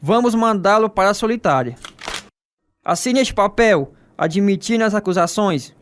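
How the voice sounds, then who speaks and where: A harsh Soviet prison commandant in a radio drama. He is curt and commanding, high-pitched for a man. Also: expressive, moderate pace, slight background noise. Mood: threatening.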